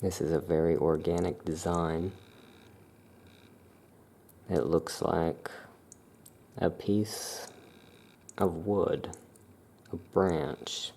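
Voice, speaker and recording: An ASMR performer speaking softly and calmly in the role of a witch shopkeeper, explaining items in an unhurried way. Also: sits low, vocal fry, breathy edge. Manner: soft-spoken, calm, unhurried explaining. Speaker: witch shop keeper presenting amulets. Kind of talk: ASMR soft-spoken shopkeeper roleplay.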